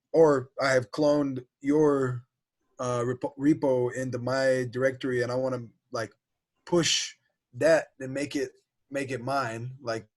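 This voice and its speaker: A man from Bulgaria taking part in a workshop, chatting casually and asking questions. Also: mid-range voice. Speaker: workshop participant.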